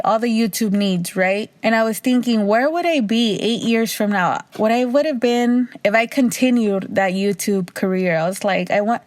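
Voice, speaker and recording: A young woman with a raspy voice hosting her own podcast, talking loosely and casually, swearing freely, a little tipsy. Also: a little high, darkish tone, no room sound. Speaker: podcast host. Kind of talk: casual solo monologue.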